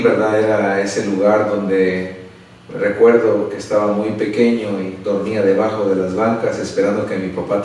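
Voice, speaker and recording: A man's voice: lowish voice, darkish tone, a little hiss or hum. A middle-aged man from El Salvador, a pastor talking earnestly and unhurriedly, often adding tag questions. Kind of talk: interview answers and prayer. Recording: echoey room, a bit far from the mic.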